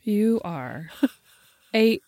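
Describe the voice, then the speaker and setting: A woman co-hosting a casual chat with her sister, telling stories quickly and teasing. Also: medium pitch, expressive, mellow, no room sound, clean studio sound. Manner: teasing, rapid storytelling. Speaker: podcast co-host.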